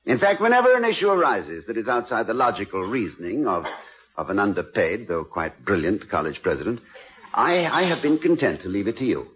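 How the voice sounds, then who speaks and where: An actor playing a witty, courtly American college president in a radio drama, playfully pompous and eloquent. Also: male voice, on the low side, very animated, brisk, husky. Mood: amused, teasing. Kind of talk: 1950s radio drama acting. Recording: dull old radio broadcast, music behind.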